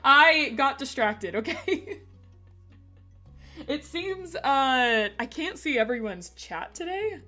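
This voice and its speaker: A woman streamer with a slightly hoarse voice, chatting casually and giggling as she talks to her chat. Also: high voice, sing-song, slow pace, pushed and bright, soft background music.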